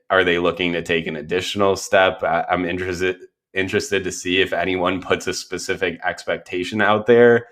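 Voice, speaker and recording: An American man co-hosting a casual sports podcast, sounding a little congested, relaxed and laughing easily. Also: low-pitched. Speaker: podcast co-host.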